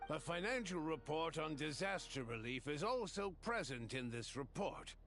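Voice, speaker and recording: A man playing a formal government official who delivers reports in a measured, bureaucratic manner in a video game. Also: a little high, animated, a bit bright, soft background music. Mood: formal, composed. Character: formal male government official chairing an assembly. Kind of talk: formal scripted report reading.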